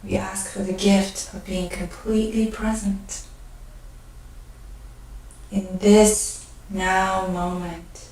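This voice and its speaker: A woman leading a guided meditation, speaking gently and unhurriedly in short phrases with pauses between them. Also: mid-range voice, flat delivery. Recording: very echoey room, distant mic.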